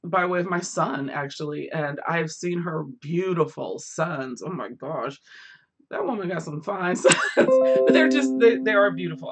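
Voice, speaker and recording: Hoarse, gravelly woman chatting on a livestream. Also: deep voice. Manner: chatty, laughs often, rambling asides. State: losing her voice, hoarse. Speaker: book vlogger hosting a livestream. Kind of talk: casual live chat with viewers.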